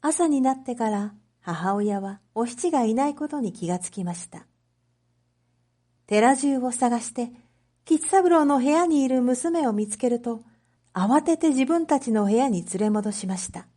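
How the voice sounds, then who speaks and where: A woman reading a story aloud as a narrator, in a measured, clearly phrased storytelling manner for reading practice. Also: a little high, animated, dark tone. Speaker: narrator, Japanese reading teacher.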